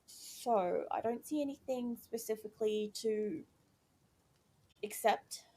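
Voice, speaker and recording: A woman playing a video game and chatting as she works it out, excited by each small success, her voice going croaky. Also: fairly high pitch, slow. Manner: chatty, thinking aloud, easily excited. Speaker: gaming YouTuber. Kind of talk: casual let's-play commentary.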